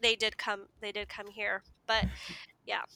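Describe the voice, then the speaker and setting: A woman's voice: mid-range voice, little pitch movement, unhurried, gravelly, pushed and bright, no echo. A woman from the United States, speaking as a podcast guest and explaining things in a casual, conversational way.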